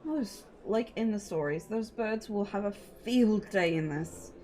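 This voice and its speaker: A woman's voice: medium pitch, unhurried, warm tone. A woman livestreamer reading a visual novel aloud and chatting casually, with playful, sweary asides. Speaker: livestreamer, VTuber. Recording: music behind the voice.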